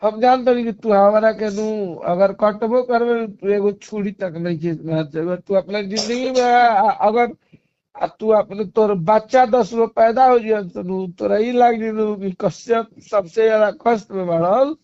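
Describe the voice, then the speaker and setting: A man's voice: sits high, moderate pace, a little mellow, clear, resonant tone, no echo. A man with a Bhojpuri accent in an emotional private argument, pleading and agitated, repeating himself, on a recorded phone call. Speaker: caller in a personal phone argument.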